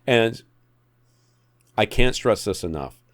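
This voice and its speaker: A man's voice: on the low side, animated, slow, a bit bright, no echo, clean studio sound. A man co-hosting a podcast, giving opinionated tech advice in a blunt, exasperated, emphatic way that repeats points for effect. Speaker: podcast co-host.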